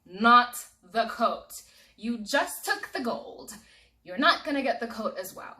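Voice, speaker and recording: A woman voicing an excitable, easily scared young man in a told story, talking high, loud and pleading. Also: normal speed, close to an everyday voice. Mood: panicked.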